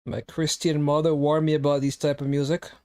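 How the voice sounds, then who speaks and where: A young male streamer with a somewhat high voice, chatting loosely and reacting to his chat. He teases viewers in a joking, offhand way while he plays. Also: little pitch movement, a little fast, darkish tone, smooth. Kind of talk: casual live-stream chat.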